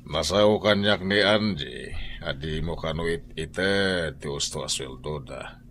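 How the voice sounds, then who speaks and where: A man's voice: a bass voice, slow pace, a bit bright, a little hiss or hum, heavily acted. An actor with an Ilocano accent playing a stern wealthy husband who lectures his wife in a radio drama. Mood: reproachful.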